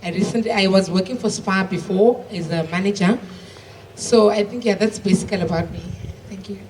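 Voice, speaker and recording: A woman in her twenties from Zimbabwe introducing herself as a regional manager, steady, with frequent uhs. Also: mid-range voice, brisk. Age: 20s. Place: conference room. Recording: distant mic, faint room noise.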